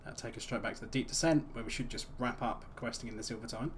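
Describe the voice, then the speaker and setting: A man's voice: medium pitch, steady tone, fast talker, a little hiss or hum. A young man, teasing his friends and narrating his quests in casual gaming chat. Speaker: gaming co-host.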